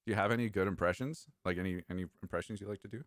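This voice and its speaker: A man's voice: sits low, rapid-fire, no echo, studio quality. A man co-hosting a casual chat, joking around and sometimes trailing off mid-thought. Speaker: podcast co-host.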